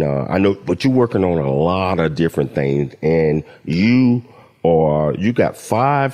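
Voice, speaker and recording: A man's voice: low voice, mellow. A man hosting a radio talk show, enthusiastic and rambling, with frequent restarts.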